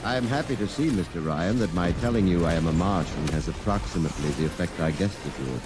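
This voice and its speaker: Man playing a deep-voiced, courteous Martian visitor. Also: noisy background, lightly acted. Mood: calm, formal. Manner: formal, measured, precise diction. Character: courteous, precise Martian client posing as businessman. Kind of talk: 1950s science fiction radio acting. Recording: old-time radio transcription with background sound.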